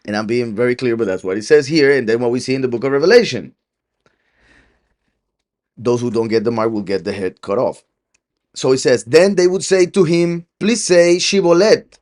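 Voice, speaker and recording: A man's voice: medium pitch, brisk, darkish tone. A middle-aged man teaching a Bible study in a loose, conversational way, laughing often and acting out quoted lines. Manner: conversational, laughs often, with many restarts and fillers; acts out quoted lines with a shifting voice. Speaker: preacher.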